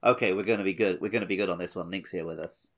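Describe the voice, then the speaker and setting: Male gaming podcast co-host, lower register, conversational and analytical, informal phrasing. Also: very fast. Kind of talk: casual gaming podcast chat.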